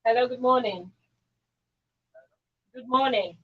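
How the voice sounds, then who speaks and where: A woman's voice: on the high side, takes it slowly, breathy edge, lo-fi sound, faint music behind. A woman with a Nigerian accent hosting a live radio call-in talk show. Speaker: radio host.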